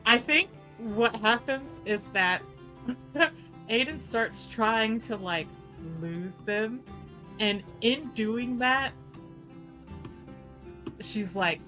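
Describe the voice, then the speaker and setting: A woman's voice: medium pitch, slow pace, pushed and bright, faint music behind. A woman playing a tabletop game, casual and informal, telling her character's moves with playful drama. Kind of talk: casual improvised game chat and storytelling.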